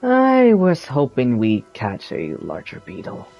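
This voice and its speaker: An actor voicing a young, calm, skeptical traveler in a video game, measured and doubting. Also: medium pitch, gravelly, lo-fi sound, faint music behind, full character voice.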